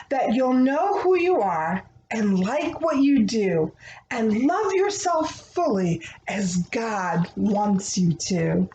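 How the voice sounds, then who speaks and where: A woman with a fairly high voice speaks to young children in a tender, earnest read-aloud style. She takes her time over each phrase. The sound comes from a roomy, echoing space. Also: a little mellow. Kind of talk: expressive read-aloud of a rhyming picture book, then gentle talk to children. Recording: dull, echoey room with faint noise.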